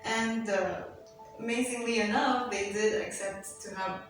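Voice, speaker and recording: A woman's voice: medium pitch, fairly even intonation, unhurried, music in the background. Young woman, Arabic first language, chatty with fillers, far from mic, echoey room. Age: young adult. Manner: chatty, many fillers like "you know" and "like". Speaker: interview guest, university student. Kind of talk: casual interview. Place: school set.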